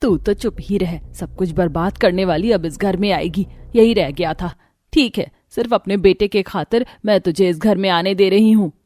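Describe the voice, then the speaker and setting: A woman's voice: medium pitch, normal speed, a little mellow, no echo, clean studio sound, lightly acted. Cartoon villain mother-in-law voiced by a woman: middle-aged, harsh, sarcastic, scolding, over music. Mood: scolding, grudging.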